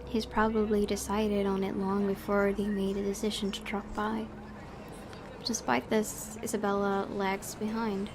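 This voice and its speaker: A woman's voice: medium pitch, level tone, a little slow, noisy background. A woman hosting a let's-play, reading a visual novel's narration aloud with dramatic storytelling delivery. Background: game music.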